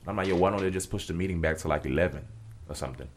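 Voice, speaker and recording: A man's voice: low voice, very fast. A young man in his twenties co-hosting a casual podcast, telling loose slangy stories. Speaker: podcast co-host. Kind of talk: casual chat.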